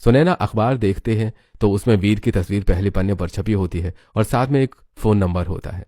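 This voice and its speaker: A man's voice: on the low side, a little fast, mellow, no room sound, studio quality. A man narrating a scripted moral story as its storyteller.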